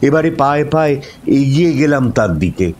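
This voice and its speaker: A man narrating a scripted ghost story in a dramatic, suspenseful style with measured phrasing. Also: mid-range voice, lively intonation, darkish tone. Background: instrumental music.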